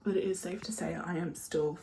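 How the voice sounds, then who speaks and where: Young brummie woman vlogging, bit nasal, lowish voice, casual chat, noisy background. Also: steady tone, brisk, warm tone. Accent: Birmingham. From Birmingham, England. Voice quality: slightly nasal. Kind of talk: casual chat to camera while getting ready. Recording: clearly noisy room background.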